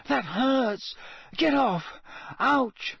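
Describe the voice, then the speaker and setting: A man's voice: sits high, very animated, moderate pace, no room sound, pitched above the performer's own voice, exaggerated voice. A man voicing an anxious, bewildered teenage boy wizard in an audiobook, with a flustered manner. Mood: protesting. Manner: panting, croaky, flustered exclamations. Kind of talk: audiobook character voice.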